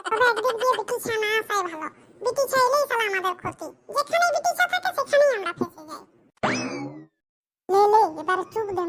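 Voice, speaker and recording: Cartoon village boy, extremely high squeaky voice, sharp tone, brash, mischievous, rural West Bengal accent. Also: a little hiss or hum, heavily acted. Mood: cheeky grumbling. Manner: brash, grumbling colloquial banter. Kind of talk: comedy cartoon dialogue.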